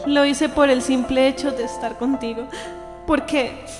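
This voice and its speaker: A young woman playing an earnest, anguished sister in an amateur radio drama, with a halting, plaintive delivery. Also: sits high, fairly even intonation, over music. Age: young adult. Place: indoor green-screen studio. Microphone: handheld dynamic microphone shared close up. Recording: reverberant hall-like echo.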